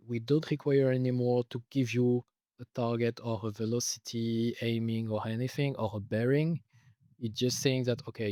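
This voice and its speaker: A man with a delivery talks through software he built. He pauses often with filler sounds and restarts his sentences. His middle-pitched voice, a little worn, sits close and dry with no room sound. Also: no room sound. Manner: hesitant, many filler uhs, restarts. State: losing his voice. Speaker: software developer explaining his tool. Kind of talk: casual screen-recorded walkthrough.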